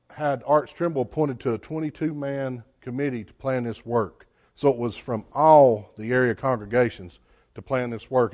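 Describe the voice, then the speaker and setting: A man, a care home's executive director, giving a plainspoken presentation to a church with many fillers and a loud voice. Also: medium pitch. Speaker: executive director of a care home, guest speaker.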